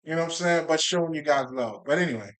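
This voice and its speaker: Man from Haiti, casual rambling monologue, profane and animated, slightly high-pitched voice. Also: fast. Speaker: spiritual video creator.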